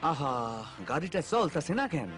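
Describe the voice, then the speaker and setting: Cartoon role: excitable grumbling village grandpa, Bangladeshi accent, swooping animated pitch, music underneath. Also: male voice, normal speed. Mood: disgruntled. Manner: blustering, exclamatory. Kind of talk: cartoon voice acting.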